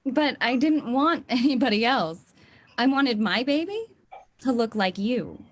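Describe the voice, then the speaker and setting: A woman's voice: on the high side, lively intonation, measured pace, slight background noise. A woman playing a devoted young wife in a radio play, speaking in an affectionate manner. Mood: tender. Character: tender, devoted young wife expecting a baby.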